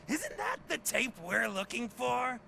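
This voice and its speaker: A man's voice: very high-pitched, wildly swooping pitch, slow pace, creaky, sharp tone, a little hiss or hum, heavily acted. A man playing a menacing, mocking thug in a video game, taunting as he talks. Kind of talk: video game cutscene acting.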